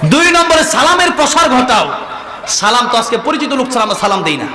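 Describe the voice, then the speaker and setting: A man with a Bangladeshi accent preaching an impassioned waz sermon, exhorting his listeners and moving between plain talk and drawn-out melodic chanting. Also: extremely high pitch, sing-song, a crowd in the background. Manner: impassioned, exhorting, calls for responses. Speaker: Islamic preacher. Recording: echoing PA sound with long reverb.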